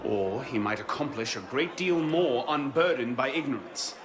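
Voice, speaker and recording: A dry, guarded young assassin, as a man voices him, terse and serious in a game cutscene. His mid voice moves widely in pitch. Music runs beneath. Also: expressive, moderate pace, close to an everyday voice. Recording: music underneath.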